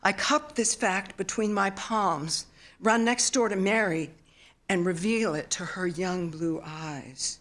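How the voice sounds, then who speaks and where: A mature woman reading a literary memoir at a live event in an expressive, solemn storytelling manner. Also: medium pitch, slow pace. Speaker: author reading own work. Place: theater stage, live reading.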